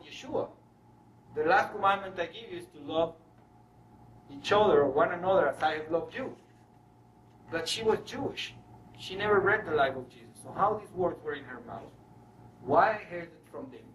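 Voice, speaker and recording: A man from Venezuela speaks in a high, bright voice, giving a spiritual lecture built on personal stories. He is animated, slipping into dialogue as he goes. The sound is roomy, picked up from a distance. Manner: animated storyteller acting out dialogue. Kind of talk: spiritual talk to an audience, personal storytelling. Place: room with an audience. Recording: roomy, distant mic, faint noise.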